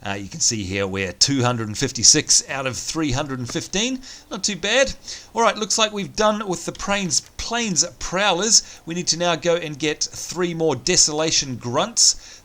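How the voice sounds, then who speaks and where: A man's voice: a little high, a bit bright. A man with a strained, nearly lost voice, chatting upbeat through gameplay commentary as he plays. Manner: chatty, upbeat, thinking aloud. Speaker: let's play host. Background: game sounds and music.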